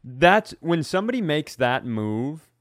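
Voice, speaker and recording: A man's voice: fairly high pitch, sing-song, unhurried, no echo, clean studio sound. An American man co-hosting a podcast, chatting casually and comically as he argues hypotheticals step by step. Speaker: podcast co-host. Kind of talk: casual comedic chat.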